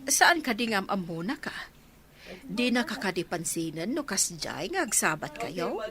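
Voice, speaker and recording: A woman's voice: medium pitch, very animated, measured pace, crowd noise. A woman with an Ilocano accent playing an inquisitive, frank mother in a village radio drama. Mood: curious.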